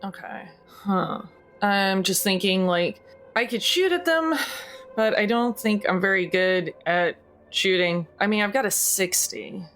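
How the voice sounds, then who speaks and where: A woman playing a tabletop game, chatting casually and thinking out loud, with some noise on the recording. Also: mid-range voice, lively intonation, unhurried, soft background music.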